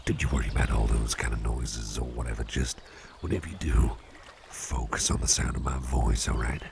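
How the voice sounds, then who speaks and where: A man playing a calm, caring, playful businessman boss in a slow, intimate ASMR roleplay, speaking in a soothing, gravelly, very deep murmur. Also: slight background noise, full character voice. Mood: reassuring. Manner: soothing, unhurried, repeats short phrases.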